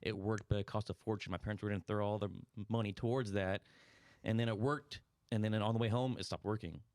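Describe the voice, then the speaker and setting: A man with a stutter, co-hosting a casual podcast chat and speaking in halting bursts with repeated words. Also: on the low side, steady tone, a little mellow, no room sound, clean studio sound. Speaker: podcast co-host.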